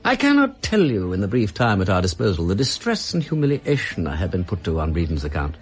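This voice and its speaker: Radio drama casting: wry, urbane English aristocrat, upper-class accent, flowery and pompous, hugely animated intonation. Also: male voice, deep voice, fast talker, slightly rough, exaggerated voice. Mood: confidential, pained. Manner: pompous, flowery, drawling mock-courtesy. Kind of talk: British radio drama acting.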